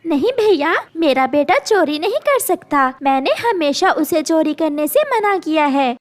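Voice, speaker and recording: Woman as a high-voiced, tender mother bird. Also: sing-song, unhurried. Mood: earnest. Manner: coaxing, affectionate, fretting. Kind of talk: children's cartoon dialogue.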